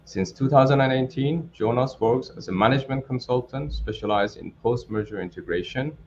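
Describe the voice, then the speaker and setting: A man hosting a webinar, reading a formal scripted introduction in a measured way. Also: medium pitch, a little mellow. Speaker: webinar host. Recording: dull lo-fi audio, clear background noise.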